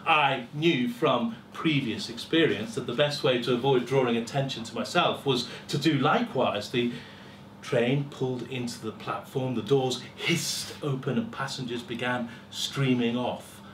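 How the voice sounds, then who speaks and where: Male reader, middle-aged, for live short fiction: theatrical, measured delivery in an echoey room, distant mic, slight noise. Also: mid-range voice. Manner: theatrical, deliberate, with pauses and swelling emphasis. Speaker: story reader at a live festival. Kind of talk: dramatic first-person story reading. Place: small lecture room with seated audience. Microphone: far camera. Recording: roomy, distant camera mic, faint hiss.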